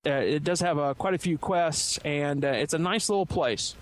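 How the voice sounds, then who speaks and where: A man's voice: a little high, normal speed, no room sound. A man giving a casual, methodical step-by-step explanation on a podcast panel.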